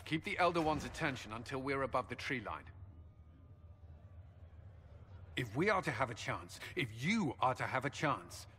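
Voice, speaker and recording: A resolute, grave commander in a fantasy game. His mid-pitched voice is forceful and firm, giving clipped tactical speech. It rings in a long hall reverb with some background noise. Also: sing-song, fairly quick. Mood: commanding resolve. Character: grim, resolute male military commander. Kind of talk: dramatic video game acting.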